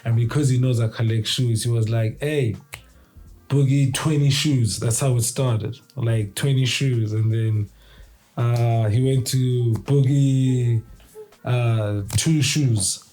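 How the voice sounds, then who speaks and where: Slow-talking laid-back man. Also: medium pitch, steady tone, warm tone. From South Africa. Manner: laid-back, unhurried, chuckles between answers. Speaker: guest, producer and rapper. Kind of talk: relaxed interview answers.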